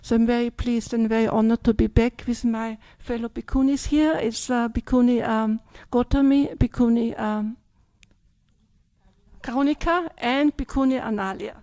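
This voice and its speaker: A woman's voice: a little high, steady tone, normal speed, dark tone, dry recording, studio quality. An older woman, a Buddhist nun from Austria with an Austrian German accent, giving a chatty dharma talk that keeps checking in with "yeah?" and "yes?".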